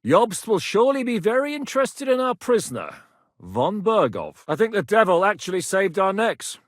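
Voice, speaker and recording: An actor voicing a seasoned, commanding male war captain in a video game, blunt and quick to bark orders. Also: high-pitched, sing-song, dry recording, clean studio sound. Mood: calm. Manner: barks battle orders, brash and blunt. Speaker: military commander.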